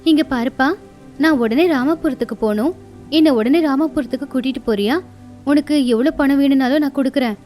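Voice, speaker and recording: A woman voicing a benevolent mother goddess in an animated devotional story, speaking in a gracious, assured and caring manner. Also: high-pitched, brisk, faint music behind, close to an everyday voice. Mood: polite, urgent. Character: benevolent mother goddess, first disguised as graceful lady.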